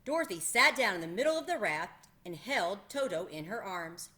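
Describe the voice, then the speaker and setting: A woman narrating a children's storybook aloud in an expressive manner, as the host of a read-aloud. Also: medium pitch, normal speed.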